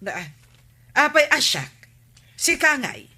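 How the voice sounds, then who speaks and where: A woman playing a sharp-tongued, scolding village woman in an Ilocano comedy radio drama, argumentative and exasperated, with an Ilocano accent. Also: high-pitched, big pitch swings, slow pace, full character voice. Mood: exasperated, haggling. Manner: nagging, argumentative.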